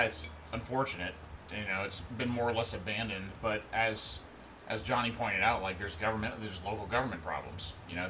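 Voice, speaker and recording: A man in his thirties hosting a livestream in a relaxed, rambling way, chatting and reading out viewer comments. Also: lowish voice. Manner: relaxed, rambling, answers chat as he goes. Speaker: livestream host. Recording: steady background noise.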